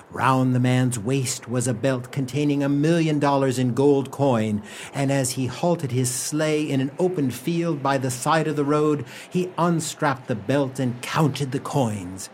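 Male storyteller over noticeable background noise. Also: medium pitch. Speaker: radio play narrator. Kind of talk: scripted storytelling narration.

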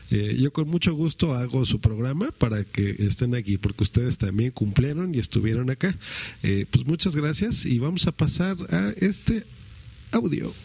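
A man hosts a podcast in a gravelly, husky voice. He talks casually and hesitantly, reaching for his next word. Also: mid-range voice, a little mellow. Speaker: podcast host. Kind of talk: casual host commentary.

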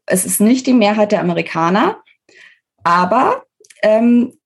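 A woman with a German accent and a somewhat husky voice at a middling pitch speaks as a podcast guest. She explains in an informal, emphatic way, thinking aloud and rephrasing as she goes. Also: very animated, measured pace. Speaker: interview guest, author. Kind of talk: conversational expert explanation in a podcast interview.

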